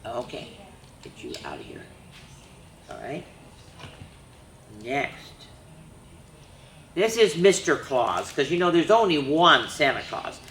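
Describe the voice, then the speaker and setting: Lady doing kids story time, bright voice, sing-songy reading, echoey room. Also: sits low, wildly swooping pitch, takes it slowly, long pauses, a little hiss or hum. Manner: sing-song rhyming read-aloud with chatty asides. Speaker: children's storyteller. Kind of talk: reading a picture book aloud to children.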